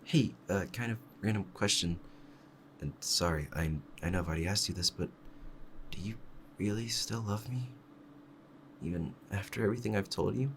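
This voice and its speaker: A man playing a devoted, clingy yandere fox-spirit husband in an intimate ASMR roleplay, speaking in a soft, tender bedtime murmur. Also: fairly low pitch, normal speed, pauses often, slight background noise, lightly acted. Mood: tender, adoring.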